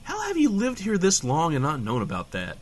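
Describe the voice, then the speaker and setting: Animated, glib small-town radio host played by a man. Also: a little high, fairly quick. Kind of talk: comedic audio drama banter.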